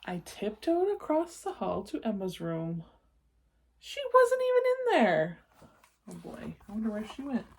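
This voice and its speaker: Slow-paced woman reading a storybook. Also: mid-range voice. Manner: animated, explanatory, checking in with listeners. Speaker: read-aloud storyteller for children. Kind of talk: expressive storybook narration with asides to young listeners.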